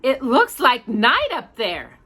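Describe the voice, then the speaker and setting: Woman acting a bossy, whiny older brother, bright. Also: high voice, wildly swooping pitch, slow, pitched above the performer's own voice, heavily acted. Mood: startled. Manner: whiny, scornful, muttering.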